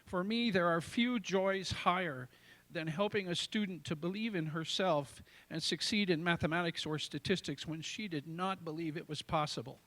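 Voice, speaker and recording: Higher-pitched older American man reciting poetry. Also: moderate pace, fairly bright tone. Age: 60s. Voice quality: tenor voice. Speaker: amateur poet. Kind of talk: reciting own poetry to a live audience.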